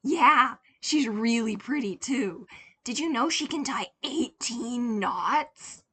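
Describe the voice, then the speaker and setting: A woman's voice: on the high side, lively intonation, slow, slightly rough, voice pushed up, full character voice. Woman voicing a chirpy little boy, faking innocence. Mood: bright, innocent. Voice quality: childish lisp. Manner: bright, babbling, polite.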